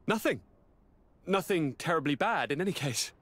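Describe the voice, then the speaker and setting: A man playing an unwell, excitable noble cousin with a theatrical delivery in a video game. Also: a little high, normal speed, no echo. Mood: weakly evasive. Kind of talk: video game cutscene acting.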